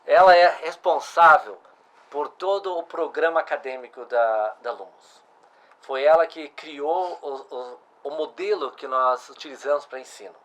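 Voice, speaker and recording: Middle-aged man, chatty interview host, voice sits a little high. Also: moderate pace, a bit bright. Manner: conversational, restarts and asks follow-up questions. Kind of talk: casual interview chat.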